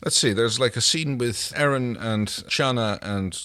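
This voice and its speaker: A man's voice: mid-range voice, unhurried, pushed and bright, clear, resonant tone, no room sound, studio quality. A man co-hosting a podcast, casually recapping a TV episode and often searching for his words. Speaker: podcast co-host.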